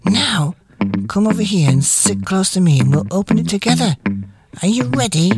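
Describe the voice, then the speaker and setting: A man voicing an excitable, sly old grandfather in a children's storybook, with a hushed, conspiratorial manner. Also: high-pitched, normal speed, pitched above the performer's own voice. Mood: eager. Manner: conspiratorial, whispering and spluttering with excitement. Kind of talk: children's storybook character reading. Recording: music behind the voice.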